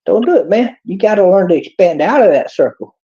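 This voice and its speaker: Texan man, folksy preachy rambler, gravelly and dark-toned. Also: sits high, expressive, brisk. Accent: Texas. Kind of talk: rambling monologue. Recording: dull lo-fi clip.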